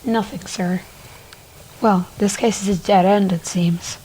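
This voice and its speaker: A woman acts a police officer in an amateur radio detective play. The lines come slowly in a deliberate, scripted way, with slight noise behind the mid-pitched voice. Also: steady tone, dark tone, lightly acted, rough recording. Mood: serious. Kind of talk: amateur radio drama acting.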